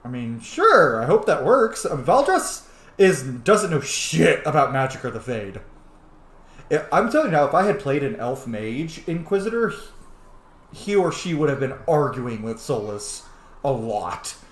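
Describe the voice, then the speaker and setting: A man's voice: mid-range voice, big pitch swings, a little slow, a bit bright. A man giving casual, jokey roleplay commentary as he streams a game, swearing freely. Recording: roomy, echoing sound.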